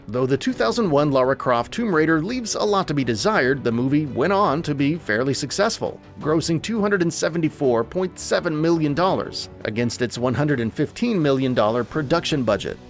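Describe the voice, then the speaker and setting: A man reading scripted narration for a film countdown video, presenting like a narrator. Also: fairly high pitch.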